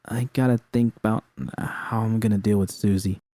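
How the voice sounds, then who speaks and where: A man reading aloud, voicing a distraught, guilt-ridden young woman in high, strained, pleading tones. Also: fairly even intonation, normal speed, warm tone, no room sound, lightly acted. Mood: crushed.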